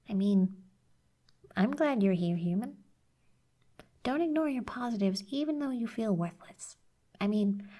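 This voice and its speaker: A woman voicing a teasing but caring young cactus girl in an ASMR roleplay, soft and slightly husky, blunt yet encouraging. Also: a little high.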